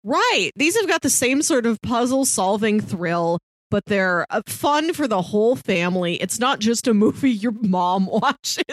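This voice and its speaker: A woman's voice: on the high side, lively intonation, measured pace, few pauses, no room sound, clean studio sound. A woman co-hosting a podcast, talking through films in a casual, thoughtful, conversational way. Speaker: podcast co-host. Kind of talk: casual movie discussion.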